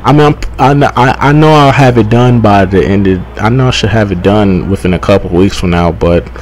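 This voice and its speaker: A young man giving casual, rambling gaming commentary, halting, repeating himself and swearing freely. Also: on the low side, slight background noise. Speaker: gaming YouTuber.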